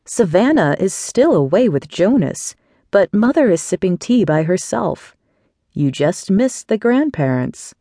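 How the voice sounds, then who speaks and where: A woman voicing a friendly young male cousin who runs a shop, speaking slowly and easily. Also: mid-range voice, very animated, mellow, no room sound, clean studio sound. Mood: easygoing.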